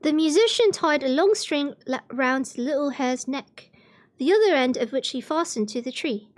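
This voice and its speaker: A woman reads fairy tales aloud for a livestream. Her voice sits high, and she tells the story in an expressive storybook way. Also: normal speed.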